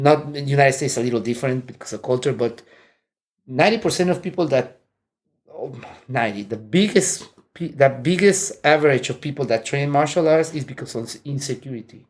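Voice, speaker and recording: A man's voice: on the high side, a little mellow. Mexican-accented man, chatty and laughing. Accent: Mexican Spanish. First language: Spanish. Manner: friendly, laughs often, rambling with restarts. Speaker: interview guest, martial arts instructor. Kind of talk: casual interview chat. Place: indoor room, seated.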